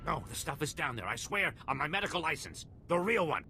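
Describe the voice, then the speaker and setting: Gravelly, jittery back-alley doctor. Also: male voice, a little high, big pitch swings, fairly quick, pushed and bright, slight background noise, full character voice. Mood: nervous insisting. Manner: flustered, stammering, sarcastic. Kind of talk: video game voice acting.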